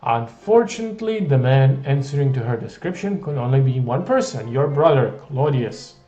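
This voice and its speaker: A man reads a choose-your-own-adventure game aloud and keeps breaking in with dry, playful jokes. His voice is warm and soft-edged. It sits in a roomy space with a slightly muffled, lo-fi sound. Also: mid-range voice, expressive, moderate pace, soft background music. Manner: wry, playful, jokey. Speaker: gamer reading a text game aloud. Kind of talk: reading a text adventure aloud with comic asides.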